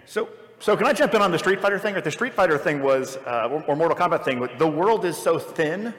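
Male panelist, earnest and halting, slightly high voice, reverberant hall. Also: animated, fairly bright tone. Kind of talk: casual panel talk before a live audience.

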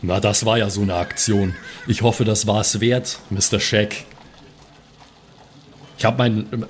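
A man's voice: fairly low pitch, steady tone, slow. A man talking casually as a player in an improvised tabletop role-playing game. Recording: faint background noise.

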